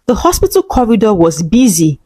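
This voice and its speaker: A woman's voice: medium pitch, very animated, a little fast, warm tone, slightly rough, dry recording. A woman reading scripted, dramatic narration as a storyteller.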